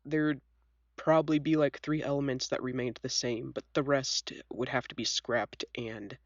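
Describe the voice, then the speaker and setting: Young woman w a cold, scratchy low voice, chatty and self-deprecating, dry dull lo-fi recording. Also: no echo. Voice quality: scratchy, congested. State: sick with a cold, sniffly. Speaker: video host. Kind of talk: casual chat, storytelling.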